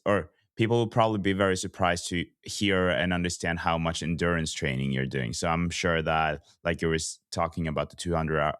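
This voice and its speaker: A man's voice: rumbling low, fairly bright tone, smooth, dry recording, clean studio sound. A man hosting a podcast in a conversational way, with stammered starts and rambling run-ups to his questions. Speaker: podcast host. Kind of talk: conversational interviewing.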